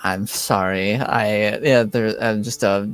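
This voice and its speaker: A game master voicing a befuddled, polite noblewoman in a halting, apologetic manner. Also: mid-range voice, fairly even intonation, clear, resonant tone, faint music behind, lightly acted. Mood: flustered.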